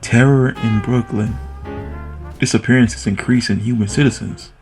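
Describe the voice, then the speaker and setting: An actor playing an eager, nervous young police officer in a 1920s radio drama, polite and a little hesitant. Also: male voice, mid-range voice, fairly even intonation, moderate pace, a little mellow, faint music behind, lightly acted. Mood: earnest. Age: young adult.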